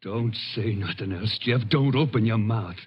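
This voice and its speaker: An actor playing a righteous, quick-tempered farmer with a rural Texan drawl in a vintage radio western. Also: male voice, lowish voice, a little hiss or hum, natural voice. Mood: angry, pleading. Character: righteous, hard-working farmer, stern and quick-tempered brother. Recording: muffled vintage radio recording.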